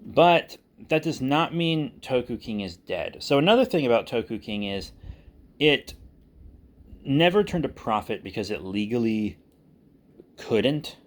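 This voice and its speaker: A man speaking casually and unscripted as a channel host, candid and self-deprecating, with halting delivery. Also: mid-range voice, expressive. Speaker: audio drama creator and channel host. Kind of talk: casual unscripted vlog talk.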